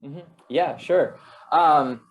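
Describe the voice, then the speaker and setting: A man's voice: fairly high pitch, very slow. A man from Canada and the United States, a singer giving a casual personal testimony as an interview guest.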